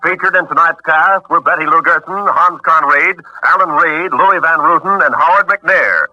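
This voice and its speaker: A man's voice: on the high side, normal speed. A man working as a 1940s radio announcer, reading scripted credits in a formal broadcast style. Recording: dull, noisy vintage radio recording.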